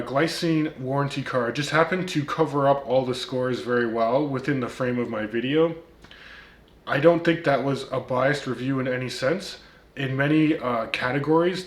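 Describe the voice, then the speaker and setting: A man's voice: medium pitch, echoey room. A man with a slight Turkish accent, hosting a casual vlog and answering critics with wry comebacks and frequent ums and uhs. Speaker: watch reviewer, channel host.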